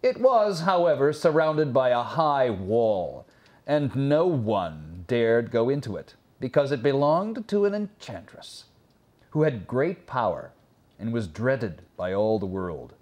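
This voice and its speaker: A man reading a fairy tale as a storyteller, in a dramatic, expressive scripted narration with pauses. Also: medium pitch.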